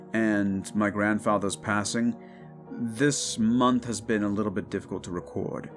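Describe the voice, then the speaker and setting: A man in his thirties with a mid-pitched voice talks casually over guitar music. He hosts an audio channel and addresses his listeners in a loose, rambling, informal style. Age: 30s. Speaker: audio drama creator and host.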